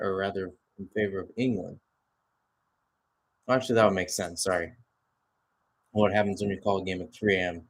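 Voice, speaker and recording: Man calling live football play-by-play. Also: on the low side. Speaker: play-by-play commentator. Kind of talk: live football radio-style commentary.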